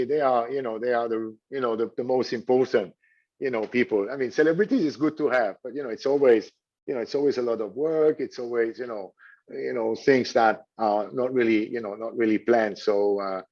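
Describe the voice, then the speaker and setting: A man answers an interviewer's questions, stopping and restarting often and leaning on "you know" between phrases. His voice sits in an ordinary middle pitch. The recording is a little dull and lo-fi. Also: darkish tone. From Switzerland. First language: French. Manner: halting, many "you know" fillers and repeated words. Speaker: hotel general manager. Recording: dull lo-fi audio.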